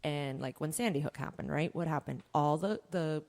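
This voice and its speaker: Blunt American woman, low-pitched, echoey recording. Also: normal speed, darkish tone. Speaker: podcast co-host. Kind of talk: casual political chat. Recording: long echoing reverb.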